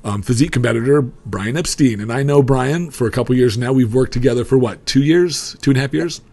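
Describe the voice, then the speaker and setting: A man in his forties with a gravelly, husky voice hosts a relaxed chat. He wanders through his questions, restarting sentences and laughing along with his guest. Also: medium pitch, a little fast. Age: 40s. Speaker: diet coach and interview host. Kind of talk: casual interview.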